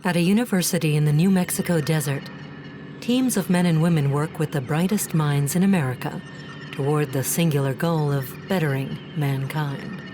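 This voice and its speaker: An actress is a composed female storyteller introducing an audio drama. Her voice is fairly low and the scripted narration runs over clear ambient noise. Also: normal speed, lightly acted. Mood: measured.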